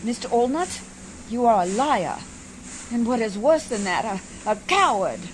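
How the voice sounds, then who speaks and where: A woman's voice: a little high, big pitch swings, unhurried, slight background noise, rough recording. A woman playing a prim, devout and strong-willed lady adventurer in an old-time radio drama, speaking in proper, effusive phrases. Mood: indignant, determined. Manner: proper, genteel, effusive diction.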